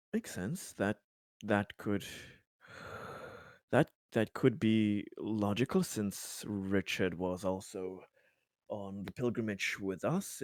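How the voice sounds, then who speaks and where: Male tabletop player, lowish register, improvising in character; halting, courteous, formal delivery on a dry recording. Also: a little mellow, no echo. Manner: hesitant, halting, polite and formal. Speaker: tabletop roleplaying game player.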